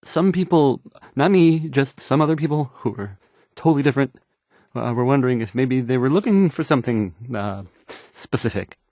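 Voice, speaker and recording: Casting: male actor for bumbling young wasteland DJ, nervous, halting, rambling news delivery, medium pitch, dry studio sound. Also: expressive, a little mellow, dry recording, natural voice. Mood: nervous, unsure. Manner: hesitant, stammering, rambling, self-doubting. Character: awkward, nervous, unsure young male radio DJ. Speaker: radio newsreader.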